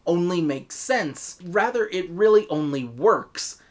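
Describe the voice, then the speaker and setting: Male American reviewer, opinionated narration, lively swooping intonation throughout. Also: high voice, slow. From United States. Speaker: reviewer, host.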